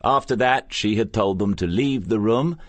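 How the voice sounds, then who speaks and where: A man narrating a crime novel as an audiobook, with measured, scripted storytelling. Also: fairly low pitch, no room sound.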